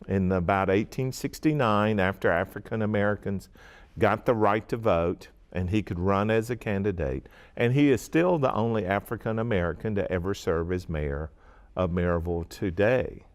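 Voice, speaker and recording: A man's voice: on the low side, moderate pace, clear, resonant tone. A man giving explanatory interview talk as a history expert in a documentary.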